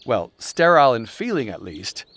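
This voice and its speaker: A man's voice: medium pitch, very animated, normal speed, a bit bright, slight background noise. A man reading his own self-help audiobook in a wry, irreverent, conversational narrating style, slipping into chatty asides. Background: music soundscape.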